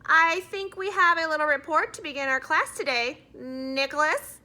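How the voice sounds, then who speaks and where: Woman acting a prim, bright-voiced lady schoolteacher. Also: high voice, moderate pace, voice pushed up. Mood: prim, firm. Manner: formal, firm classroom address. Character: prim, strict but encouraging woman schoolteacher.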